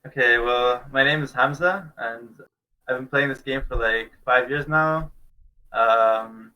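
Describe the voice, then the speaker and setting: A man's voice: medium pitch, unhurried, pushed and bright, low-quality recording. Laid-back young Canadian man. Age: young adult. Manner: laid-back, chuckles, fillers like "like" and "basically". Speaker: guest. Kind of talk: casual interview answers. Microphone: video call.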